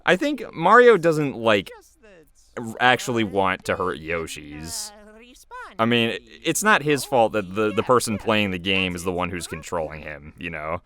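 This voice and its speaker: A man giving informal, off-the-cuff commentary as the creator of an animated show. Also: low voice, measured pace. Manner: informal, chuckling, hesitant. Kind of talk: casual commentary.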